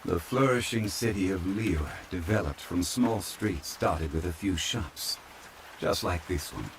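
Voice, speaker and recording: A low, deep male voice over steady rain , a man acting out an ancient, immortal gentleman from a fantasy game. He is calm, courteous and old-fashioned, with formal, measured phrasing meant for relaxing ASMR. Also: gravelly, slight background noise. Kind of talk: soft ASMR roleplay, fantasy game character. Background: rain falling.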